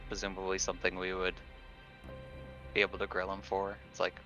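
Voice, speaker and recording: A man chats casually as a player in a tabletop game. His voice is low-pitched yet bright and sharp-edged, captured on a dull, lo-fi microphone. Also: completely flat delivery, a little fast, soft background music.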